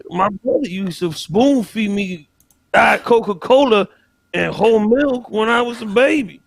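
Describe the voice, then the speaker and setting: A man as a high, creaky old Southern cowboy. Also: lively intonation, unhurried, dry recording, exaggerated voice. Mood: rambling, fervent. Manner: folksy, rambling, theatrical. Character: folksy old Southern cowboy turned voice actor, excitable rambler.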